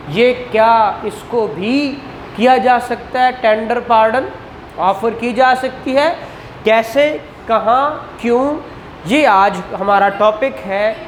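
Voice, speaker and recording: A man from Pakistan giving a law lecture, who asks questions and answers them himself and mixes in English legal terms. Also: very high-pitched. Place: room with a whiteboard. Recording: roomy echo with faint background noise.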